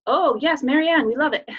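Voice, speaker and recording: A woman's voice: high voice, normal speed, phone-quality sound, a little hiss or hum. A woman giving an earnest, upbeat recruiting presentation over a video call, with casual fillers. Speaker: business presenter.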